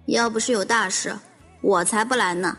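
A woman's voice: medium pitch, steady tone, moderate pace, a bit bright, husky. An actress playing a blunt, haughty, impatient young woman in a radio drama. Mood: bored, disdainful. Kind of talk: Chinese radio drama acting. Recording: long reverb, music underneath.

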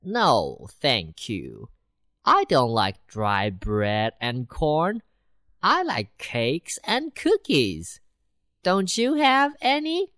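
A proud city mouse who loves cakes and looks down on country life, voiced by a woman reading a storybook aloud. The voice is low-pitched, very slow and swoops widely in pitch, recorded close and dry. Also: wildly swooping pitch, fairly bright tone, no room sound, full character voice. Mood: scornful. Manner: slow, bouncy storybook voice with big pitch swings. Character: boastful, worldly city mouse.

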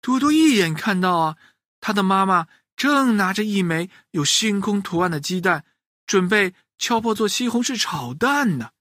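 A man narrating a children's story in an animated, expressive way. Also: high-pitched, moderate pace, no room sound, studio quality.

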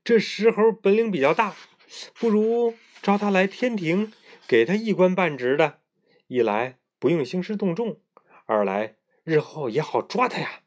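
A man's voice: sits high, expressive, a little slow, pitched above the performer's own voice. Man doing a shrewd old celestial advisor. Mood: coaxing. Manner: persuasive, coaxing.

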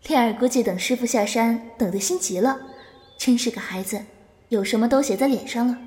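An actress playing a gentle, easily flustered young female disciple in a Chinese wuxia audio drama, with a soft, coaxing manner. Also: a little high, brisk, slight background noise, natural voice. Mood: gentle, patient.

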